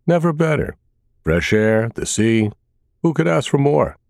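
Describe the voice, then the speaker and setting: A man playing a wry male homicide detective in an audiobook, with deadpan sarcasm. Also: medium pitch, unhurried, vocal fry, dry recording, clean studio sound, pitched above the performer's own voice. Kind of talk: audiobook character dialogue.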